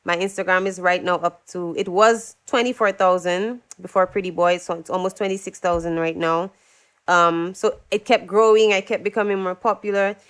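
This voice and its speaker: A woman's voice: lowish voice, moderate pace, smooth. A young adult woman from Dominica, a singer-songwriter guest telling her story in a casual interview and acting out what others said. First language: English. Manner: animated, acts out quoted voices.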